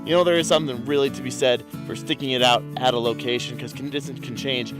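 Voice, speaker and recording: A man photographing a calm mountain lake at dawn, explaining his camera settings in a relaxed way with many fillers while sounding congested from a cold. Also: mid-range voice, quick pace, loud music behind the voice. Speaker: landscape photographer. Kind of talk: casual on-location vlog.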